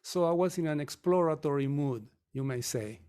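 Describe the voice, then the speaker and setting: A man in his fifties from Chile, answering podcast interview questions in a measured, reflective way. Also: on the high side, dark tone, clear, resonant tone. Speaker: guest, mortgage company founder.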